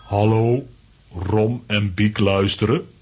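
A good-natured, simple cartoon strongman in a children's audio play. He speaks lowish, in halting, very drawn-out phrases. There is obvious noise with clicks behind him. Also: fairly even intonation. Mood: puzzled. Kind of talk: children's audio drama acting.

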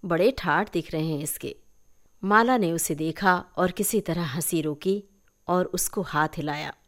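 Female story reader, expressive literary narration at a slow, measured pace. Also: fairly low pitch.

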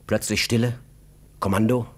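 A man narrating a literary radio drama with tense, deliberate phrasing. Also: on the low side, flat delivery, husky.

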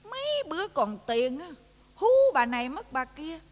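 High, animated, nagging southern Vietnamese wife. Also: female voice, normal speed. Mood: griping, gossipy. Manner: sarcastic, nagging, folksy exclamations. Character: sharp-tongued, exasperated card-gambling wife nagging her husband. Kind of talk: comedic stage-style domestic drama acting.

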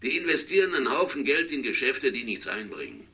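A sceptical man giving an analyst's briefing in a radio play. His mid-pitched voice is bright and incisive. He explains briskly and contradicts bluntly. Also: big pitch swings. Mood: analytical. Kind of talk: radio drama dialogue.

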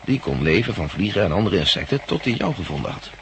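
An actor in a 1960s radio drama playing a shrewd, brooding farm worker who speaks gravely and deliberately. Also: male voice, deep voice, sing-song. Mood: grim, musing. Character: brooding male plant-farm worker, shrewd, grimly warning. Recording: old radio recording, faint noise.

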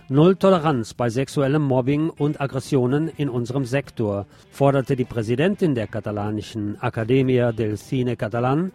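A man's voice: mid-range voice, a little mellow. A man presenting a scripted radio news report, adding the odd personal aside.

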